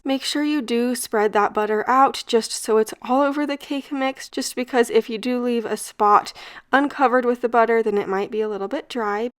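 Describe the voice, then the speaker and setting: An upbeat young woman talks viewers through a baking recipe in a casual, chatty way, her voice sitting a little high. She has a slight cold that leaves her sounding a touch stuffy. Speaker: cooking channel host.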